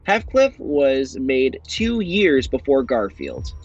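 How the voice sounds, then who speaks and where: A man hosting a quiz show, reading questions with drawn-out pauses for suspense. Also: medium pitch, wildly swooping pitch, husky, muffled recording, soft background music. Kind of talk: hosting a voiced game show on a video game platform.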